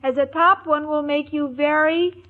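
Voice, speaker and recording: A woman's voice: high voice, fairly even intonation, unhurried. A woman acting a naive, scatterbrained, lovestruck young woman in a 1940s radio sitcom. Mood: naive, lovesick. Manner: naive, earnest, muddles her words. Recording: dull old radio recording, studio audience.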